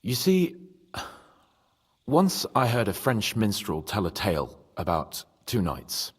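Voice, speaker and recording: A man playing an impulsive, expressive young medieval nobleman in a game. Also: fairly low pitch, slow, breathy edge, big-room sound, exaggerated voice. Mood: earnest. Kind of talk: dramatic game cutscene acting.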